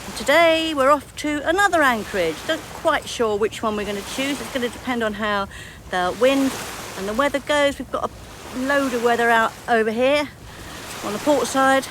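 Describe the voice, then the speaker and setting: A woman hosting a sailing vlog, chatting casually in an upbeat, appreciative way to the camera. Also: moderate pace. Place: outdoors on a sailboat. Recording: noisy outdoor boat recording, engine rumble.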